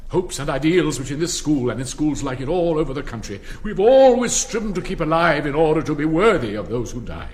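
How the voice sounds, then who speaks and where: An actor playing an elderly, pompous public-school headmaster with an upper-class English accent, declaiming ceremonially in a radio drama. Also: male voice, fairly high pitch, sing-song, fairly quick, hardly any pauses, a little mellow. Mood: lofty, reverent. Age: older. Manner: pompous, declamatory, sonorous oratory with stern asides.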